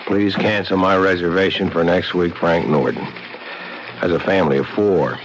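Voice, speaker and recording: An actor playing a discouraged, frustrated lodge owner in a vintage radio mystery. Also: male voice, deep voice, moderate pace, noisy background, lightly acted. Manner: exasperated, ready to give up. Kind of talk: vintage radio drama acting. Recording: dull old radio broadcast.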